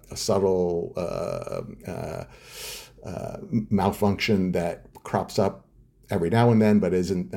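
A man with a very low, mellow voice explains science in an interview. His tone is husky and dark, and he stops often to search for words with repeated fillers. He sounds thoughtful and unhurried. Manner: hesitant, many filler uhs and restarts. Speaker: neuroscience researcher guest.

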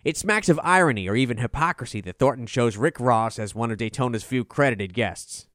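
A man's voice: medium pitch, animated, brisk, fairly bright tone, no room sound, studio quality. A man reading a scripted review as narration, in an articulate, formal delivery. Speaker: album review reader.

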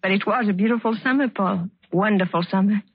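A woman's voice: medium pitch, brisk, husky, dry recording. An actress playing an anxious, sweet young woman torn by betrayal, breathless and genteel in 1940s radio suspense style. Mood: fond, wistful. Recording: dull old radio recording, music behind.

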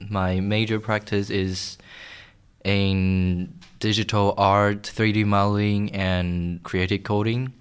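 A man's voice: fairly low pitch, clean tone. A man from Taiwan giving answers as a guest in a podcast interview.

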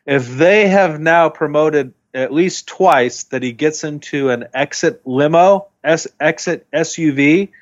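A man's voice: fairly high pitch, unhurried, hardly any pauses. A man co-hosting a podcast, recapping a reality dating show in casual chat and often restarting his sentences. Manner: rambling, restarts mid-sentence. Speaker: podcast co-host. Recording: dull lo-fi sound.

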